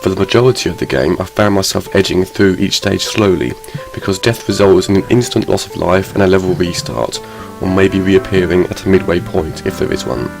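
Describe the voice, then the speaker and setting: Deep, gravelly male narrator, measured review read over loud music. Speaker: game reviewer.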